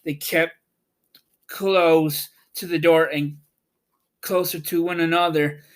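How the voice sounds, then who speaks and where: A man reading a children's storybook aloud in a measured, plain narrating style. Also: a little high, a bit bright. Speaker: audiobook narrator and host.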